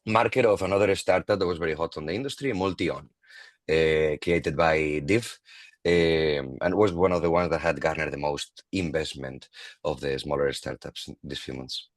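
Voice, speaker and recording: A man's voice: extremely low pitch, normal speed, dry recording, clean studio sound. A man on a community video call, explaining a new tech tool casually with many fillers and a habit of ending points with "no?". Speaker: product manager on community call.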